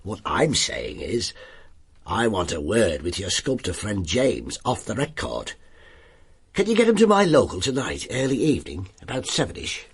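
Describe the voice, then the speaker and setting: Very husky, shrewd detective. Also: male voice, on the low side, big pitch swings, normal speed, exaggerated voice. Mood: wry. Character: shrewd, wry male police inspector. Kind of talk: radio drama acting.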